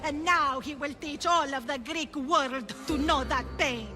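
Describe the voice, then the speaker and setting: A woman's voice: high voice, a little slow, over music. A woman playing a cruel, gloating cult priestess in a video game, with a menacing, theatrical delivery. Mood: cold zeal. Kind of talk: dramatic video game voice acting.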